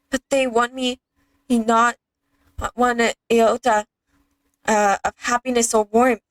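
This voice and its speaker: A woman's voice: on the high side, no room sound, low-quality recording. A young woman streaming a game, chatting casually and reading in-game text aloud.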